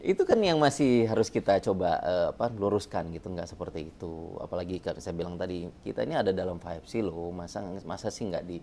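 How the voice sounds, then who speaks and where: Fast-talking man, deep mellow voice. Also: a little hiss or hum. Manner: animated, jokey, many fillers. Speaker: bank internal appraiser, guest. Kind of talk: casual panel talk.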